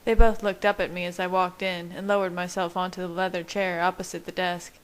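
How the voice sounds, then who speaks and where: Female amateur audiobook reader, slightly low pitch, steady measured story narration. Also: clean tone. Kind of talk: scripted first-person story narration.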